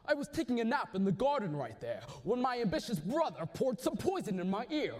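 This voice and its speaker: Man voicing a ghost king, aggrieved, slangy, high voice, lively swoops. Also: fairly quick. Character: vengeful ghost of a murdered king.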